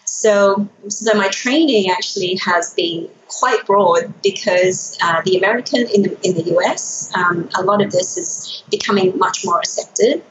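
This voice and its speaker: A woman's voice: mid-range voice, unhurried, few pauses. A middle-aged woman, a native Burmese speaker who grew up in Hong Kong and Australia, talking conversationally as an interview guest in a slightly Australian accent. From born in Myanmar, grew up in Hong Kong and Australia. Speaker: guest, anti-aging physician. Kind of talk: conversational interview answers about own career. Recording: roomy echo, dull sound, faint noise.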